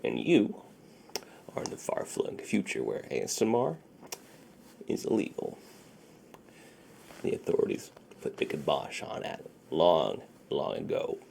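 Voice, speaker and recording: A man doing a soft-spoken ASMR roleplay as a shady, friendly underground dealer, with slow folksy patter that often drops to a near-whisper. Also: huge pitch swings, slight background noise. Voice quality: gravelly, low, often breaking into near-whisper.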